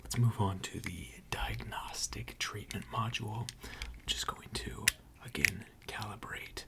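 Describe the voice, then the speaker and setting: A person whispering an ASMR repair roleplay in a breathy, gentle and reassuring way, with unhurried, hesitant pauses. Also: medium pitch. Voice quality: breathy, mostly unvoiced whisper. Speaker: ASMR creator role-playing a robot repair technician.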